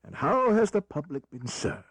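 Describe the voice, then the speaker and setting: A close, dry recording of a weary statesman. His voice sits fairly high and swings widely, with bitter irony and theatrical flourish, as in a historical audio drama. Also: very animated, normal speed, a bit bright, slightly rough, no room sound, heavily acted. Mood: wry, resigned. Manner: theatrical, wry, deferential to the king.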